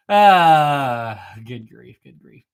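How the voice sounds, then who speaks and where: A man in his thirties streaming live, chatting casually with viewers and reading their comments, playful and teasing, laughing often and clearing his throat now and then. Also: on the high side. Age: 30s.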